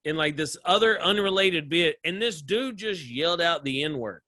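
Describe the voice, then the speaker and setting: A man with a Southern drawl tells his stories with energy, laughing as he goes and acting out the people in them. His voice is bright and forceful and sits a little high. The sound is close and dry. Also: normal speed, no room sound. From American South. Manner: animated storyteller, laughs often, acts out voices. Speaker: comedian guest. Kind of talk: casual interview chat.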